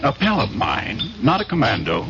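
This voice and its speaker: An actor playing a confident, persuasive middle-aged war veteran turned importer in a 1970s radio drama. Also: male voice, on the low side, wildly swooping pitch, normal speed, vocal fry, bright, forceful tone, exaggerated voice. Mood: smooth, conspiratorial. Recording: dull, noisy old radio broadcast.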